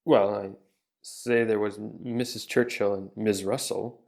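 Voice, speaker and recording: A man's voice: on the low side, fairly even intonation, measured pace, a little mellow, lightly acted. A man playing a plain-spoken 1890s witness under cross-examination, giving short, polite answers. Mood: steady. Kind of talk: dramatic reading of a trial transcript.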